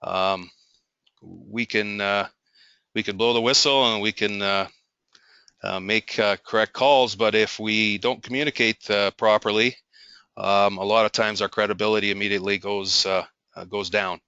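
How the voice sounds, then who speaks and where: A man hosting a referee talk, introducing the guest in a halting way full of ums and uhs. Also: fairly low pitch, fairly even intonation, sharp tone, clear, resonant tone, dry recording, dull audio. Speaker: host introducing the speaker. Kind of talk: formal introduction.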